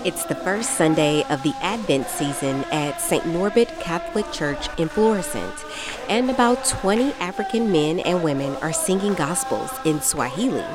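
A woman radio reporter narrating a news feature in a measured, even delivery. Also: on the low side.

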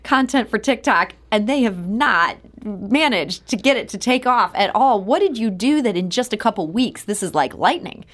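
An American woman interviewer with a mid-pitched voice that moves up and down in an animated way. She hosts in a conversational radio style, putting questions to a guest. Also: moderate pace, few pauses.

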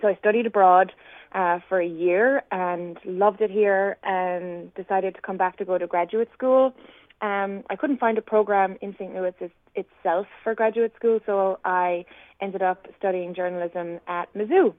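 Woman, Irish accent, reflective talker, tinny phone line, mid pitch. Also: fairly even intonation, a little slow, no room sound. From Ireland, County Clare. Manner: reflective, long explanatory answers. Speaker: guest musician. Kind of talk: radio interview answers.

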